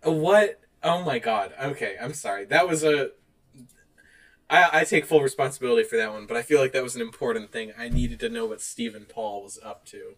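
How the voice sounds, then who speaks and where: A man co-hosting a podcast in casual chat, animated and quick to laugh. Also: medium pitch. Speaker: podcast co-host. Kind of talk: casual chat, reading out online reviews.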